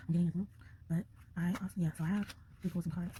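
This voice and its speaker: A young woman reads tarot in a rapid tumble of words, and her low, mellow tone is thickened by a slight congestion. She talks loosely and chattily, laughing often and filling gaps with "um" and "like". She sits close to the mic, with a faint hiss of noise behind her. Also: fairly even intonation. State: slightly congested from a sinus infection. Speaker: tarot reader. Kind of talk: casual pick-a-card tarot reading. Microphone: close to a desk microphone.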